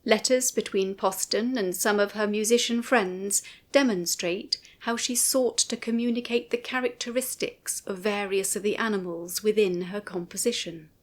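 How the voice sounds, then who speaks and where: A woman narrating a scripted commentary in a formal, measured way, phrasing each sentence with care. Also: medium pitch, fairly even intonation. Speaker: writer and narrator of a music commentary.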